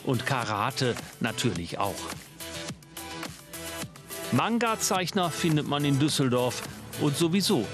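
Guy doing scripted tv report voiceover, average pitch, music bed pretty loud. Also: sing-song, slow pace, long pauses. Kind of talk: scripted narration.

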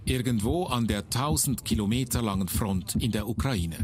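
A man reading a scripted news report in a measured, even way. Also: fairly low pitch, heavy background noise. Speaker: reporter narrator.

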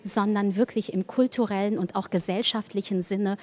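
A woman's voice: medium pitch, fairly even intonation, moderate pace. A woman giving an interview soundbite as a choir member. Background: loud music.

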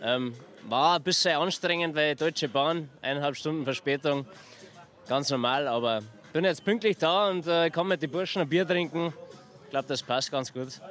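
A man's voice: a little high, normal speed, fairly bright tone, soft background music. Bavarian-accented male football fan. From Upper Bavaria. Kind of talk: casual street interview.

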